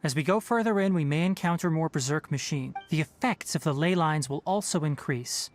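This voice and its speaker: A male actor playing a thoughtful, principled young forest ranger in a video game, speaking in a measured, articulate way. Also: fairly high pitch, soft background music, lightly acted. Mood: calm, instructing. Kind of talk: video game dialogue acting.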